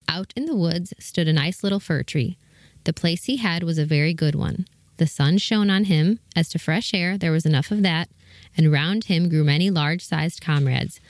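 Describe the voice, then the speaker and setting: A woman's voice: fairly low pitch, no echo, clean studio sound. A woman reading a children's fairy tale aloud as the story's narrator.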